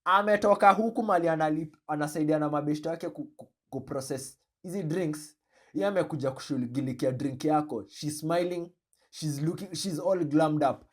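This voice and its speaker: A man with a Kenyan accent hosting a reaction video, commenting in an animated, joking way and switching between slang and English. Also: fairly high pitch, normal speed. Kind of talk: commentary on a played clip.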